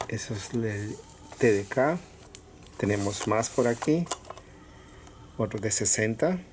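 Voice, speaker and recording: Slow, low-key man over background noise. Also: expressive. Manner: halting, pausing between short phrases. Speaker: collector showing cassettes for sale. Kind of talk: casual product showcase. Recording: clear background noise with clicks.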